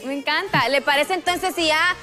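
A woman's voice: high-pitched, moderate pace, pushed and bright, faint music behind. A young woman hosting a TV talk show, chatty and cheerful, asking a guest questions with lots of tag questions on a studio set. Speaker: TV host. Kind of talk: interviewing a guest.

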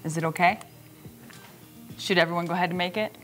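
A middle-aged woman with a fairly low voice explains cooking steps in a casual, instructional style. She is folksy and plainspoken, like a home cook talking in her own kitchen. Faint music plays in the background. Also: fairly even intonation, brisk, fairly bright tone. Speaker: cooking host.

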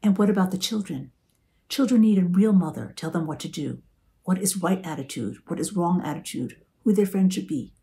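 A woman's voice: lowish voice, animated, a little fast, dark tone. A woman voicing a bossy older immigrant mother who lectures in broken English, in an audiobook reading. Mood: scolding. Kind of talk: audiobook character voice.

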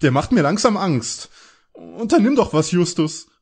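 Man acting as anxious schoolboy detective, timid, raised pitch, lively inflection, parody audio drama. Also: unhurried, breathy edge, full character voice. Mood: frightened.

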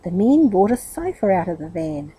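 A middle-aged woman narrates a children's tale. Her voice is dark and husky, and her pitch moves about in an animated way as she reads. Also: moderate pace, rough recording. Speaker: storybook reader. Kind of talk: children's story read aloud, expressive narration.

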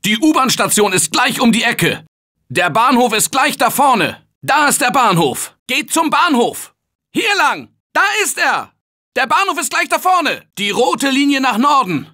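A male actor playing a confident, energetic survivor in a German video game dub, shouting warnings and calling directions. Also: very high voice, a little slow, exaggerated voice. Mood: determined. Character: upbeat male office worker survivor, confident. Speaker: game character.

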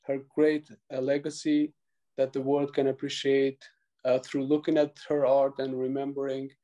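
A man, speaking over a video call from a small room, earnest and halting with frequent pauses. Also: mid-range voice, warm tone. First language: Albanian. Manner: earnest, many pauses and restarts. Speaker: tribute speaker. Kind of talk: halting prepared remarks.

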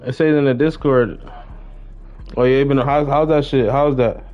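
A young American man chats casually as he streams a game. His voice sits at a middling pitch and his talk is slangy, looping back on the same phrases. The mic sounds slightly dull and lo-fi. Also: fairly even intonation, normal speed. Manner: slangy, repetitive greetings to viewers. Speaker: livestreamer. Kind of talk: casual gaming livestream chat.

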